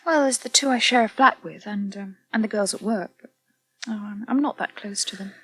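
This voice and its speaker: A woman's voice: medium pitch, fairly quick, sharp tone, slightly rough, dry recording. An actress playing a withdrawn, shaken young woman in a naturalistic radio soap, hesitant and subdued. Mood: anxious, subdued.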